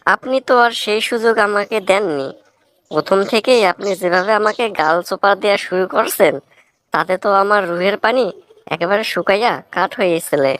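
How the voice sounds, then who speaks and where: A woman's voice: medium pitch, a little fast, fairly bright tone, natural voice. A woman voicing an earnest, talkative young village student in a cartoon comedy, speaking in a rustic Barisal accent with blunt, moralizing manners. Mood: plaintive, plain. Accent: Barisal Bangla. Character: earnest, plain-spoken young village man, scholarship student.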